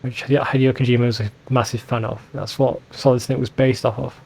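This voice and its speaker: A man's voice: mid-range voice, little pitch movement, brisk, gravelly, a little mellow, slightly rough. A man giving informal, sweary gaming commentary as a let's play host. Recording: faint game music behind voice. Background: game soundtrack.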